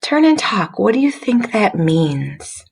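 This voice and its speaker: A woman's voice: medium pitch, very animated, slow, creaky, darkish tone, husky. A woman giving an interactive storybook read-aloud, encouraging young listeners and asking them questions like a teacher. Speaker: teacher reading aloud to children.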